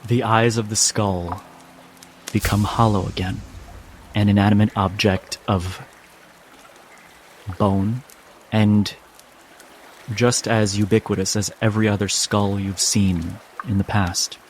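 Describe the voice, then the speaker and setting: A man as game master, narrating a tabletop game dramatically in deliberate, broken phrases. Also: on the low side, level tone, slight background noise. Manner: deliberate, short phrases with pauses. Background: music and rain sounds.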